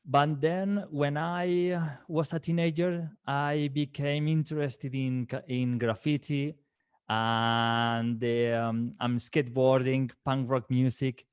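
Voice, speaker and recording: Halting Spanish guest with a Valencian accent. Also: male voice, mid-range voice, smooth. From Valencia, Spain. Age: 30s. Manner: halting, repeats words, laughs often. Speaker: guest calligrapher. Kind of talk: casual interview.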